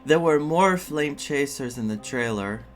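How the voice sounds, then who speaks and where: A man giving casual, reflective playthrough commentary as a streamer, haltingly and repeating his phrases. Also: mid-range voice, expressive. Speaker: gaming streamer. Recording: game music behind the voice.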